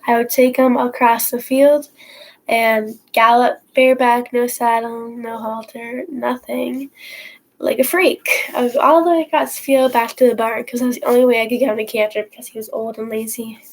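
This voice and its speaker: A woman's voice: on the high side, fairly even intonation, moderate pace, rough recording. A teenage girl with a stuffy nose from a cold, telling a story to her viewers in a casual, rambling way, full of fillers and restarts. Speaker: horse vlogger. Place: at home, indoors.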